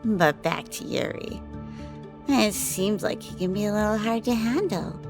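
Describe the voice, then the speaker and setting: A woman voicing a playful, flirtatious woman, a vampire's lover, in an audio drama, teasing and unhurried as she tells her stories. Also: mid-range voice, animated. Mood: teasing, confiding. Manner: teasing, unhurried storytelling with frequent laughter. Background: background music.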